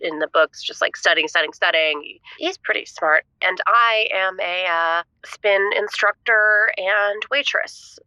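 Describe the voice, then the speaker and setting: A woman playing an exasperated, flustered young caller who vents haltingly over the phone. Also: mid-range voice, sharp tone, smooth, low-quality recording. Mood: nervous, explaining. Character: exasperated, frazzled young female spin instructor phoning in. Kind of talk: comic audio drama, radio caller.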